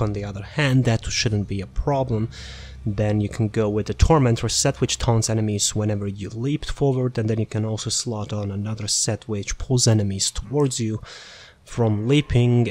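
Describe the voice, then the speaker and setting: A man's voice: lowish voice, darkish tone. A man giving casual, unscripted video game guide commentary, rambling and thinking aloud as he goes.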